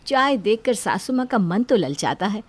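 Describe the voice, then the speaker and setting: A woman's voice: mid-range voice, big pitch swings, moderate pace, slight background noise. A woman reading a scripted family story as a narrator.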